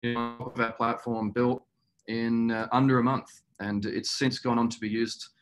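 A middle-aged man answering as a company executive in a webinar interview, speaking earnestly and in a measured, halting way. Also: medium pitch, little pitch movement, faint music behind, poor audio. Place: small indoor room, video call.

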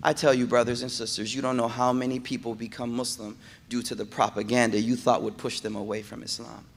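A man giving a Friday sermon, moving from calm storytelling to loud, emphatic preaching and repeating phrases for emphasis. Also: medium pitch, fairly quick, creaky. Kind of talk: impassioned sermon. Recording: echoey hall reverb.

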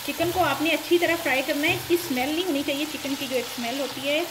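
A woman's voice: high voice, steady tone, fast talker. A woman hosting a home cooking show, giving recipe instructions step by step. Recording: loud frying sizzle and stirring noise. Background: sizzling pan and stirring.

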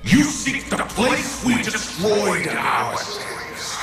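Creaking, swooping, menacing alien villain. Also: male voice, high voice, huge pitch swings, heavily acted. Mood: contemptuous, menacing. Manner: imperious, drawn-out, forceful delivery. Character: menacing, contemptuous alien disciple of darkness. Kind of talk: dramatic video game voice acting. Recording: cavernous echo under loud game music.

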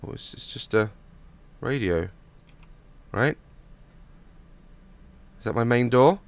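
Hoarse young man, jumpy commentary. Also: medium pitch, animated, takes it slowly, a little hiss or hum. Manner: jumpy, swearing, nervous joking. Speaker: gaming YouTuber. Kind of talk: horror game let's play commentary. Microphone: headset mic.

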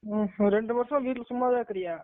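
Very high-voiced young man, dull sound. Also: quick pace. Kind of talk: casual chat. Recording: dull lo-fi recording.

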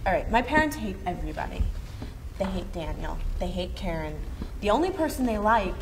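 A woman's voice: mid-range voice, very animated, natural voice. A young woman reading a brash teen girl. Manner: quick, blunt and pushy. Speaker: actor reading Kim. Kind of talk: script table read. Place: small room at a table. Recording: noisy room recording.

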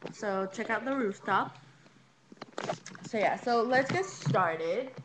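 A young woman giving a casual, rambling home tour on a webcam, informal and a little hesitant as she goes. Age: teenager to young adult. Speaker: vlogger. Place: rooms of a small house. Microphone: handheld webcam, moved around. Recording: noisy low-quality webcam audio.

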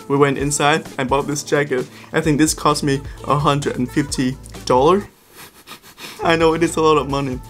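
A man in his twenties from Vietnam, chatting to camera in a casual vlog about his life. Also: medium pitch. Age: 20s. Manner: chatty, animated storytelling to camera. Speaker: vlogger. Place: apartment room indoors, then city streets and a shop. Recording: background music under the voice.

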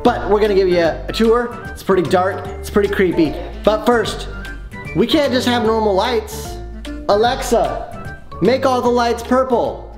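A man's voice: high voice, normal speed. An American man in his thirties narrating a casual home tour to camera in a chatty, exclaiming way. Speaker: vlogger dad giving a tour. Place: inside a family house. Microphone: handheld camera. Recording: loud music under handheld home video. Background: loud music.

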